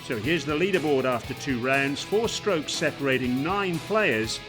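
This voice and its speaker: A man reads a sports feature in a smooth, measured way, his pitch rising and falling with plenty of movement. Plucked guitar music runs clearly underneath. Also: animated. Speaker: narrator. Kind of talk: scripted sports narration.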